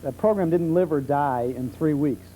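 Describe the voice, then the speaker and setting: A middle-aged man being interviewed as a talk show guest, explaining things conversationally with hesitant pauses. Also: on the high side, warm tone. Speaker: talk show guest, old-time radio enthusiast. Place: television studio. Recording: muffled 1970s television tape with hum.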